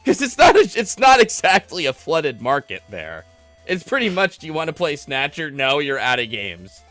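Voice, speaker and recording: A man's voice: fairly high pitch, wildly swooping pitch, normal speed, pushed and bright, no echo. A man hosting a gaming livestream, chatting casually and rambling with callers in a geeky, self-deprecating way. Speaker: host of a gaming livestream podcast taking callers. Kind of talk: casual chat while playing a game. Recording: game music behind the voice. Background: retro video game music.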